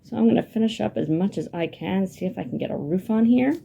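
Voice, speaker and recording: A woman's voice: medium pitch, lively intonation, fast, gravelly, dark tone, husky, lo-fi sound. A woman children's librarian leading a hands-on building lesson, asking the young viewers lots of encouraging questions. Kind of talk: hands-on craft lesson for kids.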